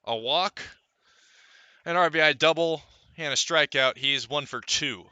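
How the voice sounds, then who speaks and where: A man giving live radio play-by-play of a baseball game, calling it in measured, deliberate phrases with pauses. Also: on the high side, pushed and bright, clear, resonant tone, no echo, lo-fi sound. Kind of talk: live radio sports commentary.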